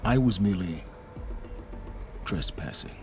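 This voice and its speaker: An enigmatic, calculating outcast scholar talks in a measured, wry way, pausing deliberately. His voice sits on the low side, with a loud game score running behind it. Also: animated, over music.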